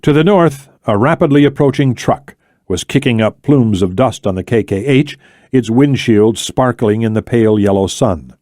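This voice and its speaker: Male narrator for thriller fiction: medium register, measured delivery, dramatic pauses, very little room sound. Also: mid-range voice, darkish tone, no room sound, studio quality. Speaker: audiobook narrator. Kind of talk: scripted thriller novel narration.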